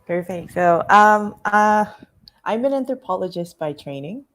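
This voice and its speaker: A woman's voice: medium pitch, expressive, measured pace. A woman anthropologist speaking as a panel guest over a video call, articulate and reflective with some fillers. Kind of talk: conversational panel talk. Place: home in Singapore.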